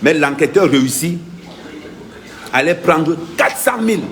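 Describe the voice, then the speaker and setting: Activist vlogger, man, pitched a bit high, emphatic and repetitive delivery. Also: animated, unhurried. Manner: insistent, emphatic, repeats key points. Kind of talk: impassioned first-person account.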